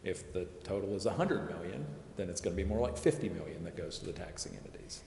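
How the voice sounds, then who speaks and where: Deep-voiced man explaining tax figures. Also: animated, vocal fry, dark tone. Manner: measured, explanatory, with restarts while recalling details. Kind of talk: explaining figures at a public meeting. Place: city council chamber. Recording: echoey chamber, long reverb, faint hiss.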